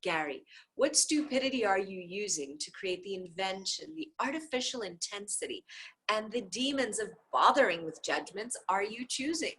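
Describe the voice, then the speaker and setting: A woman reading a dialogue book aloud, speaker labels included, with repeated phrases in an even, steady cadence. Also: mid-range voice, moderate pace, slight background noise, rough recording. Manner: occasional soft offhand asides.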